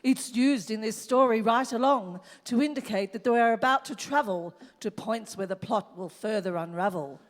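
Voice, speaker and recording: A woman's voice: fairly high pitch, moderate pace, hardly any pauses. A woman giving scripted, rhyming narration for a radio play. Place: stage in a hall. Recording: hall with long reverb.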